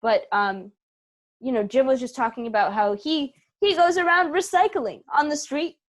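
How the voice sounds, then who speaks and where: A woman's voice: sits high, moderate pace. A woman speaking as an interview guest on a video call, casually thinking aloud with little asides. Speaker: interview guest, theater company member.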